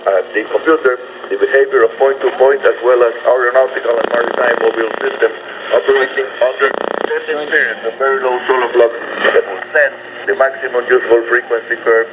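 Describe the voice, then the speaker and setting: A man's voice: mid-range voice, steady tone, quick pace, phone-quality sound. A man hosting a radio program, presenting to listeners, heard through noisy shortwave reception. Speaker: radio host. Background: radio static and noise.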